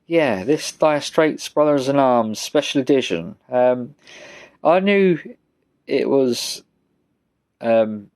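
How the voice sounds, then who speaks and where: A British man giving a casual, rambling show-and-tell as a vlogger, speaking in a halting, low-key way. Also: lively intonation, darkish tone. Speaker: vlogger showing a music collection.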